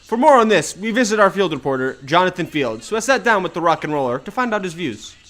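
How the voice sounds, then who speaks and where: A young man anchors a mock 1950s newscast. His voice sits a little high and moves with animated inflection as he reads the script. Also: fast, soft background music. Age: young adult. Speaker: news anchor.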